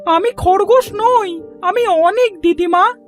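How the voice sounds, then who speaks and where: A woman's voice: extremely high pitch, takes it slowly, faint music behind. A woman voicing an earnest little child turned rabbit in a cartoon fairy tale, with a childlike manner. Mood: earnest, pleading.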